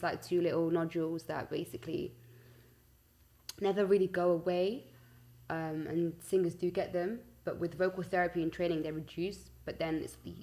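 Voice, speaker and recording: A young woman with a London accent chatting casually as an interview guest, telling stories in an informal, slangy, giggly way. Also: on the low side. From West London, UK. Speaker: singer-songwriter interview guest. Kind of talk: casual chat.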